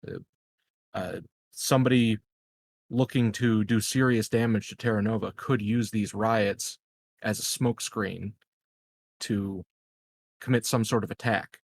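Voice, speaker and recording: A man with a fairly low voice, recorded dry and close. He plays a tabletop roleplaying game, improvising his lines casually with hesitant, measured phrasing. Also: steady tone, dry recording. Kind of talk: casual in-character improvised dialogue.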